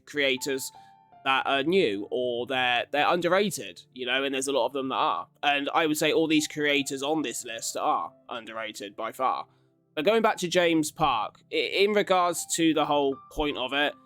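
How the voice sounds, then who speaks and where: A man's voice: medium pitch, sharp tone. A man hosting a casual ranking commentary, talking in a rambling, informal, conversational way. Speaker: YouTube reaction host. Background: background music.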